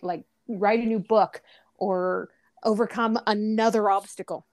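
A woman hosting an interview, upbeat and quick to laugh. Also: medium pitch, steady tone, a little mellow, dry recording. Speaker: interviewer host. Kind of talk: interview chat.

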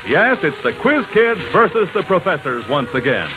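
A man's voice: a little high, very animated, normal speed. An American man announcing a scripted old-time radio show. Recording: muffled vintage radio broadcast, music behind.

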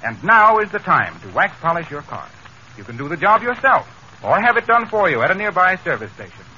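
Piercingly bright 1930s American radio pitchman. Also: sing-song, fairly quick. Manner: polished, emphatic sales delivery. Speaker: radio announcer. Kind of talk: 1930s radio commercial announcing. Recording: muffled, noisy vintage radio broadcast.

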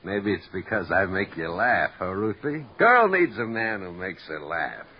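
A cocky wisecracking comic. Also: male voice, low voice, very animated, a little slow, slight background noise. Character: wisecracking male vaudeville comic, cocky and needling. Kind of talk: 1940s radio acting. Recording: muffled old radio recording.

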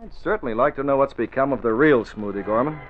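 An actor playing a genial newspaper boss, polite and dryly amused, in an old radio drama. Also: male voice, mid-range voice, fast talker, over music, lightly acted. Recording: muffled, hissy old radio recording.

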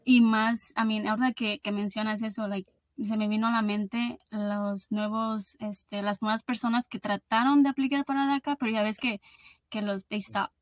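A woman's voice: medium pitch, flat delivery, fairly quick, no echo. Female podcast host, 30s, mid-range voice, informal, code-switches between Spanish and English, dry acoustic. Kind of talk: casual conversation.

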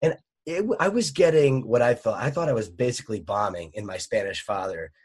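A man's voice: medium pitch, animated, fairly quick, a little mellow, breathy edge. A young man from Virginia, a stand-up comic, telling self-mocking stories in a casual interview and laughing often. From Richmond, Virginia. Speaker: stand-up comedian guest.

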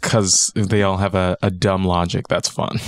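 Man, 30s, deep voice, dry close sound, easygoing comedian guest. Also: level tone, measured pace, no room sound. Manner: easygoing, laughs often. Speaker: podcast guest, stand-up comedian. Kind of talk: casual comedic podcast chat.

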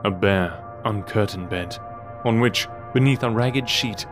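Male narrator, fairly low, dramatic storytelling read over prominent music. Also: a little slow.

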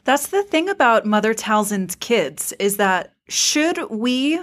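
A woman's voice: on the high side, very animated, slow. A woman hosting a podcast, giving articulate, emphatic opinions in a casual fan discussion. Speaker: podcast host.

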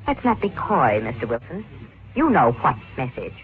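A woman's voice: a bass voice, big pitch swings, normal speed. An actress playing a scheming, anxious woman blackmailer in a theatrical 1940s radio drama style. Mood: cool, demanding. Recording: scratchy, tinny old radio recording.

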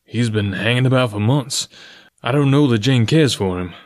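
A man's voice: medium pitch, no room sound, close to an everyday voice. Man as male suitor around forty, earnest, pressing, formal, storybook character, very dry sound. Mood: probing. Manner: deliberate, formal, blunt.

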